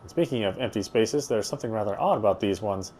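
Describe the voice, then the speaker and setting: A man's voice: lowish voice, quick pace, a little mellow, husky. A man narrating a visual novel as he plays it, reading the prose aloud with casual asides.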